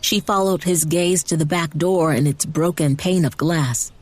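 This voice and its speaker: A woman reading dramatized fiction narration in a measured way with deliberate emphasis. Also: on the low side, darkish tone, no room sound. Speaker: audiobook narrator.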